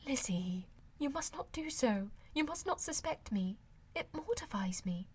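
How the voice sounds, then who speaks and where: Woman playing a gentle, husky young lady. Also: sits high, lively intonation, measured pace, voice pushed up, heavily acted. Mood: earnest, protesting. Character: gentle, earnest young woman, modest and sweet. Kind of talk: audiobook character acting of a classic novel.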